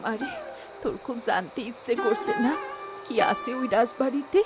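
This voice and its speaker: A woman's voice: a little high, animated, moderate pace, loud music behind the voice, lightly acted. A woman voicing an anxious, remorseful servant and devoted foster mother in a melodramatic fairy-tale cartoon. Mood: sorrowful, confiding.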